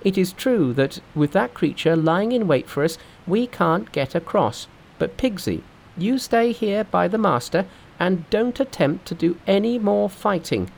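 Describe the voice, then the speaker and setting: High-pitched cocky monkey hero. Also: male voice, lively intonation, gravelly, heavily acted. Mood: confident. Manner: assured, brisk, decisive. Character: cocky, quick-witted male monkey hero. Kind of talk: storybook narration with character voices.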